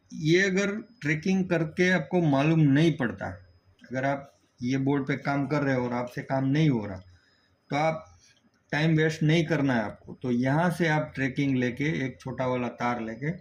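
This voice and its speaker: Man teaching repair, dull lo-fi recording. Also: mid-range voice. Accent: Indian. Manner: explanatory, often checking in with a tag word. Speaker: auto electrical repair instructor. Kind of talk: hands-on technical tutorial. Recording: dull lo-fi sound, faint background noise.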